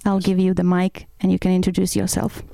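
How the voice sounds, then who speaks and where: A woman moderating a panel conversation, speaking with a low, gravelly, mellow voice in a flat, even tone. She sounds calm and supportive, and the recording is dry and close. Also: brisk, dry recording.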